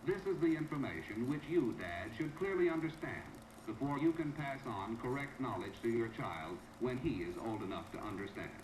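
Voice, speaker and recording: A formal, instructive educational film narrator, played by a man. His middle-pitched voice is very animated, on an echoey recording. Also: normal speed, hardly any pauses, background noise, close to an everyday voice.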